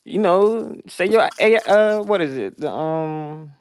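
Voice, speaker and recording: A man's voice: sits high, expressive, slow, clear, resonant tone. A man from Atlanta with a Southern accent, co-hosting a podcast in a laid-back casual chat full of heavy slang. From Atlanta, Georgia. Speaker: podcast co-host.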